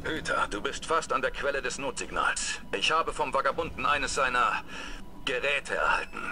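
A man's voice: mid-range voice, moderate pace, close to an everyday voice. Solemn commander played by a man, noisy backdrop. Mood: grave, resolute. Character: solemn, resolute male military commander in space game. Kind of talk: video game voice acting.

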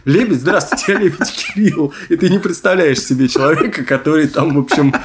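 A man's voice: fairly high pitch, brisk, slight background noise. A man hosting a podcast in a casual storytelling style, with wry, hedging asides. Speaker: podcast host.

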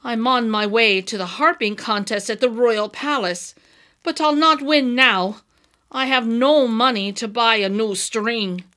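A woman's voice: fairly high pitch, unhurried, bright, forceful tone. A woman voicing a kindly old man, a humble traveling harper in a storybook, modest and earnest in manner. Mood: sad.

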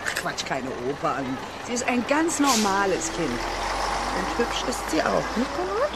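A brash bohemian mother in a radio play acted by a woman, blunt and exasperated. Her delivery is unhurried and very expressive, with faint music behind. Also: medium pitch, very noisy. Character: brash, colourful, plain-spoken bohemian mother.

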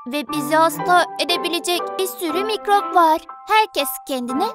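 A performer voicing an excitable, cute, earnest little girl in a dubbed children's cartoon. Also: very high voice, normal speed, loud music behind the voice. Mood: earnest, proud. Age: child character. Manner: speaks of self in third person, exclaiming.

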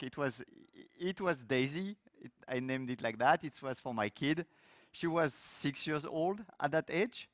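A middle-aged man from France with a French accent, giving a technical talk on a conference stage with loose, lively phrasing and many fillers. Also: medium pitch, no room sound, studio quality. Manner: loose, lively delivery with frequent fillers and restarts. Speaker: conference speaker. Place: large conference hall stage.

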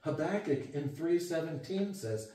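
An older man gives an earnest sermon, his voice warm and mellow with a slightly raised pitch. He is heard from across a living room through a distant camera mic, with strong echo around him. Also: expressive, unhurried. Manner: earnest, gesturing, rises for emphasis and drops quiet. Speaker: pastor. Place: home living room with guitars and a TV. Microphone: far camera. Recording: echoey room from far off.

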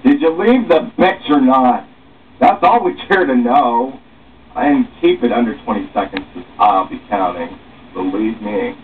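A man's voice: medium pitch, expressive, unhurried. Young man reading aloud, tinny distant recording. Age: young adult. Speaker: author reading own script aloud. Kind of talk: dramatic reading of dialogue, playing all parts. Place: small room at home, seated. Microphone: far camera or webcam microphone. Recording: muffled phone-like webcam sound, distant, noisy.

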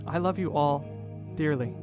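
A man's voice: a little high, moderate pace, music in the background, lightly acted. An actor playing an earnest, idealistic man who preaches peace in an audio drama. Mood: solemn, remorseful. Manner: earnest, pleading. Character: earnest, idealistic male pacifist preacher from space.

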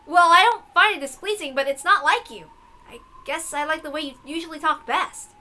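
Woman acting a loud, brash young man. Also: sits high, fairly quick, voice pushed up. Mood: uneasy, teasing. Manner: loud, casual, emphatic. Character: brash, excitable young man, master of the house.

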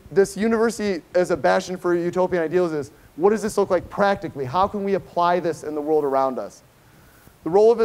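A middle-aged American man, a professor giving an informal keynote lecture, conversational and emphatic, often checking in with a quick "okay?". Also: a little high, darkish tone. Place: lecture hall, speaking to a seated audience.

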